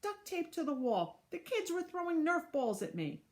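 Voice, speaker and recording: A woman voicing a flustered male school principal who protests that he is innocent, in a high stammering voice. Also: big pitch swings, normal speed, a little mellow. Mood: protesting.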